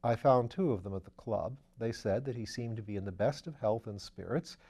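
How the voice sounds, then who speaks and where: Methodical police detective, steady and low-key, read by a man, audiobook. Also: breathy edge. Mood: matter-of-fact. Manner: calm, matter-of-fact, measured.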